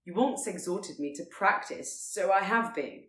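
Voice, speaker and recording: A woman voicing a proud, reserved and brooding Regency gentleman who speaks formally and gravely. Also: medium pitch, expressive, a little slow, echoey room. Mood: defensive.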